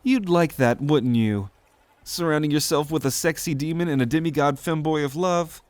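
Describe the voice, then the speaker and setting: A man's voice: fairly high pitch, normal speed, no echo, pitched above the performer's own voice, natural voice. A man voicing a cheeky, playful young demigod femboy in an ASMR roleplay audio drama. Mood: playful, teasing.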